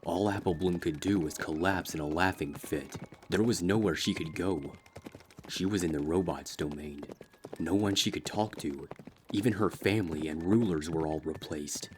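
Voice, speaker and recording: A man reading a dark fanfiction story aloud in a slow, grave, steady narrating style. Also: low-pitched, a little hiss or hum. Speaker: fanfiction reader.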